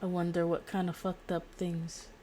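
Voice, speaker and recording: A woman's voice: fairly low pitch, monotone, moderate pace, warm tone, a little hiss or hum. A woman livestreaming a game with casual, giggly, rambling commentary. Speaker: streamer. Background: video game audio.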